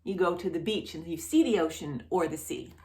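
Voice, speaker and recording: A woman reading a picture story aloud to young children as a storyteller, playful and expressive, speaking directly to the child with questions and exaggerated reactions. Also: fairly low pitch, brisk, a little hiss or hum. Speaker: children's storyteller and channel host.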